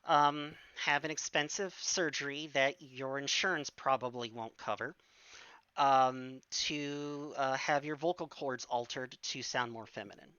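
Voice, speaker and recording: A woman in her forties with a bright, crisp voice, talking slowly and haltingly. She fills her pauses with ums and restarts as she chats on a stream. The sound is dry and a little dull. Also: very deep voice, no echo. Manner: hesitant, many fillers and restarts. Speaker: stream guest. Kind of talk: casual Q&A chat.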